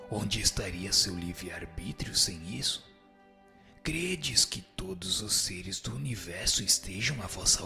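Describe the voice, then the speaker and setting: A man's voice: lowish voice, gravelly, bright, forceful tone, heavily acted. A man with a Brazilian accent playing a solemn, instructive spirit in a radio drama, answering in formal language. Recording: music under the voice. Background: background music.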